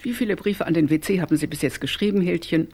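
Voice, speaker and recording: An actress playing a theatrical, scheming older woman who leads a gang, declaiming decisively with a husky voice in a German radio crime comedy. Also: on the low side, quick pace, a little mellow, slight background noise, lightly acted. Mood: rousing, defiant. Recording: music behind the voice.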